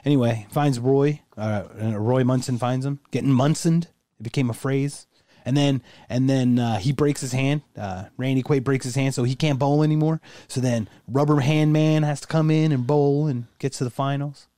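A male podcast co-host in casual chat, rambling and crude and quick to laugh. His voice is mellow and warm at a middle pitch. The sound is dry and close, with no room echo. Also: steady tone.

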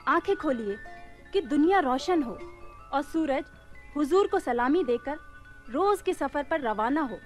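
High-voiced, deferential palace maid. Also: female voice, expressive, a little slow, soft background music. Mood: coaxing, reverent. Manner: formal, honeyed, flowery. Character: deferential, courtly palace maidservant. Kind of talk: Hindi radio play acting.

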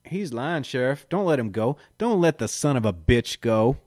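A man voicing a spiteful little deputy who accuses and whines in a high, pinched voice. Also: expressive, moderate pace, darkish tone, no room sound, clean studio sound, natural voice. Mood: shrill accusing. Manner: shrill, whining, insistent.

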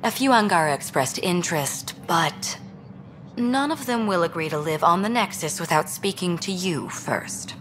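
Game role: alien woman diplomat, lowish voice, polite, measured, composed. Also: animated, a bit bright, a little hiss or hum. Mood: courteous, measured. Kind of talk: video game dialogue.

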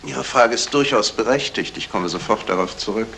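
Courteous, shrewd detective, low-voiced. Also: male voice, creaky, a bit bright. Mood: composed. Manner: polite, measured, hesitant little interjections. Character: courteous, shrewd male detective explaining a murder. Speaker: actor. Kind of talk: 1970s German radio crime play acting. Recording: noisy vintage radio play recording.

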